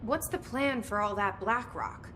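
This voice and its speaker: A woman's voice: on the high side, expressive, measured pace, background noise. A young woman voicing a determined, dry-humored game heroine. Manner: dry, understated. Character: determined young female bureau director.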